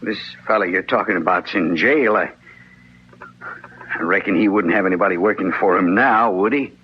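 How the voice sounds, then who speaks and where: A man's voice: low voice, normal speed, a little hiss or hum, natural voice. An actor playing a surly, evasive gunman in a 1950s radio western, guarded and laconic. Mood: guarded, defensive. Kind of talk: 1950s radio drama acting. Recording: muffled 1950s radio recording.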